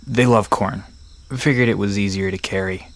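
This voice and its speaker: A man's voice: on the low side, fairly even intonation, moderate pace, natural voice. An actor playing a friendly, knowledgeable young man who explains folklore in an easygoing, reassuring way in an audio drama. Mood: easygoing, explanatory. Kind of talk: conversational audio drama acting. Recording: music and crickets behind voice.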